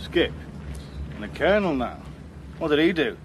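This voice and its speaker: An actor playing a laconic, insubordinate British spy in a radio drama, speaking with dry irony and a British accent. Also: male voice, on the high side, big pitch swings, moderate pace, background noise. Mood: puzzled. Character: wry, insubordinate British spy narrating his own story.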